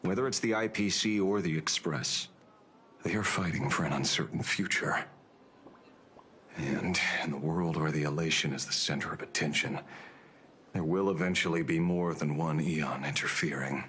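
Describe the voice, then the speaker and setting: A man's voice: very deep voice, moderate pace, fairly bright tone. An actor playing a world-weary, mercenary older male detective in a video game, with a dry sardonic manner. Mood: grave.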